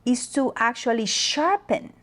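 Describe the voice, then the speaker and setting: A woman from the Dominican Republic, a native Spanish speaker, talking as a guest about leadership in a slow, thoughtful way with pauses and fillers. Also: on the high side, expressive, a bit bright. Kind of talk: interview conversation.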